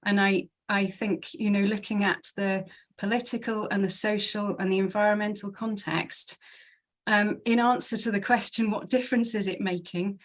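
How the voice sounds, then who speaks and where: Woman, from South Wales, guest speaker, earnest, chatty, conversational talk, mid-pitched voice. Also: fairly even intonation, normal speed. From Swansea, South Wales. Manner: earnest, chatty, thinks aloud. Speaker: guest speaker from a conservation charity. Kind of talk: conversational talk to a church group.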